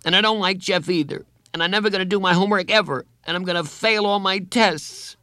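A man's voice: sits high, fairly quick, pushed and bright, no echo, studio quality, voice pushed up. A man voicing an upset, stubborn schoolboy in an audiobook, whining and defiant. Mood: sullen, defiant. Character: upset, stubborn schoolboy feeling betrayed and angry.

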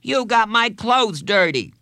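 Man putting on a high-pitched, belligerent schoolboy bully. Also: big pitch swings, a little slow, sharp tone, no room sound, studio quality, exaggerated voice. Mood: angry, indignant. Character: belligerent, boastful schoolboy bully, whiny and defiant. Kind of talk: children's audiobook character voice.

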